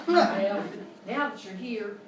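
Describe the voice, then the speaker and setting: A woman's voice: medium pitch, animated, slow pace, a crowd in the background. A woman playing a brash, no-nonsense Old West saloon keeper with an in a live radio comedy. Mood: folksy welcome. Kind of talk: live radio Western parody. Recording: very echoey live stage, distant, noisy.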